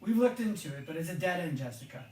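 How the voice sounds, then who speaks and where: Man acting a guarded fake police officer, calm menace, radio drama, echoing room, away from microphone. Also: on the high side, fast, recorded from a distance, lightly acted. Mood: guarded, warning. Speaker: actor in an audio drama. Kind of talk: naturalistic radio drama dialogue. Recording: roomy, echoing, off-mic sound.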